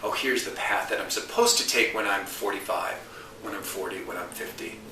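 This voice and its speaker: A man's voice: low voice, a little fast, sharp tone. A man in his forties giving thoughtful interview answers about his career, restarting phrases and lifting his voice to stress a point. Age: 40s. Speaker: interview guest, marketing consultant. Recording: roomy, distant mic, faint hiss.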